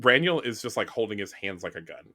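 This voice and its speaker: A playful player improvising in a tabletop game. The voice is bright and forceful, with very lively swings of pitch. It is recorded dry. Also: quick pace, dry recording. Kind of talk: casual improvised tabletop roleplay.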